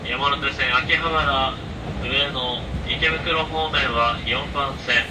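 A man working as a train conductor, making polite, formulaic passenger announcements over an onboard loudspeaker. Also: steady tone, very slow. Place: inside a moving train, picked up from the cabin speaker. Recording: distant PA with loud train rumble. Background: train running noise.

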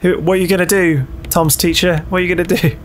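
A man's voice: high-pitched, level tone, fast talker. A young British man giving casual, playful gameplay commentary, sweary and often repeating phrases in a sing-song way. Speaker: let's play host.

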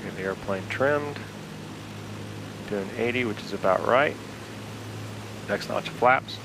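A man with a fairly low voice narrates his home-cockpit flight in a relaxed, step-by-step way. He speaks into a headset mic. A steady engine drone sits clearly under his voice. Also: measured pace, background noise. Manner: relaxed, talking through each step aloud. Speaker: flight sim video host. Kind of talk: casual instructional narration while flying. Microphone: aviation headset.

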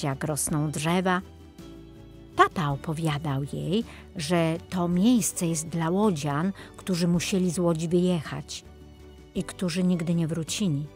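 A woman's voice: on the low side, a little mellow. A woman reading scripted narration for a children's story as its narrator. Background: music.

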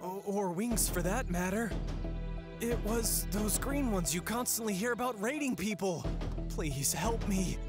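An actor voicing a panicked, pleading traveling merchant who stammers, in a fantasy audio drama. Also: male voice, high voice, unhurried. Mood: anxious pleading. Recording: music behind the voice.

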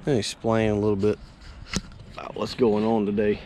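A man's voice: on the low side, monotone, brisk, mellow, slight background noise. A man, a fishing charter captain talking casually to camera by a pond while coaching his kids. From Florida Panhandle, US. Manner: easygoing, rambling, coaching kids between asides. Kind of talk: casual outdoor vlog talk. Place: outdoors by a neighborhood pond. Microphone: wearable action camera.

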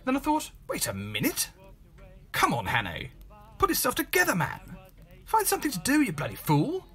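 A man playing a bored, world-weary gentleman in his thirties, narrating a homemade radio play in a wry, clipped way. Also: very high-pitched, wildly swooping pitch, normal speed, breathy edge, voice pushed up, heavily acted. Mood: rousing himself.

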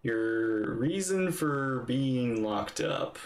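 A man's voice: lively intonation, drawn-out words. A man in his thirties making casual, musing reactions to a game on a livestream. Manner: low-key, musing. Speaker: reaction streamer. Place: indoor livestream setup. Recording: echoey room sound. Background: faint game music.

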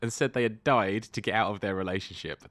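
A man's voice: lowish voice, fast talker, fairly bright tone. A British man co-hosting a comedy podcast in casual banter, swearing and emphatically repeating his phrases.

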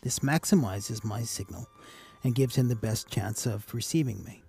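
A man giving a casual, measured instructional voice-over as an amateur radio operator hosting a tutorial. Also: medium pitch, vocal fry, dark tone, husky, soft background music.

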